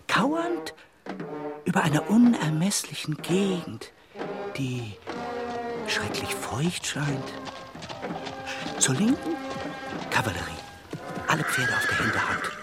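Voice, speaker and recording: An actor playing a brooding, awestruck narrator of a surreal vision, delivering dramatic literary radio-play narration in halting fragments. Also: male voice, fairly high pitch, full character voice. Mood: slow, hushed wonder. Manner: halting fragments, theatrical, sometimes hushed.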